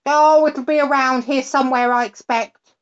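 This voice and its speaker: A woman's voice: high voice, steady tone, moderate pace, pitched above the performer's own voice, natural voice. A woman voicing a mischievous male night creature who talks in a breezy, teasing, careless way. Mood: carefree. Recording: dull lo-fi recording.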